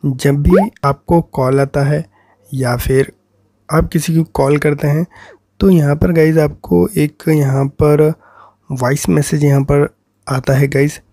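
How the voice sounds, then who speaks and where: A man's voice: fairly high pitch, little pitch movement, slow, dark tone, no echo, studio quality. A man with an Indian accent giving an informal tutorial voice-over as a tech host, repeating himself and often addressing his viewers directly.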